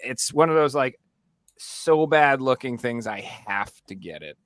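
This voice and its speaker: A man co-hosts a casual podcast with slangy, sweary excitability. His mid-pitched voice is bright and forceful. The sound around it is tight and dry. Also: measured pace, no room sound. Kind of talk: casual chat.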